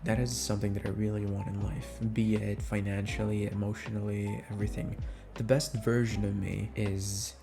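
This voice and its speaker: A man's voice: lowish voice, level tone, moderate pace, vocal fry. A young adult man chats casually and informally as an audio creator answering fan questions, close on the microphone. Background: music.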